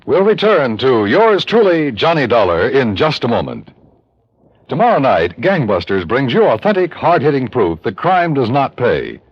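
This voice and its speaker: Hugely animated American male radio announcer. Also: medium pitch, normal speed, slightly rough, no echo. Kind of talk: 1950s radio announcing, scripted promo. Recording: muffled vintage radio broadcast recording.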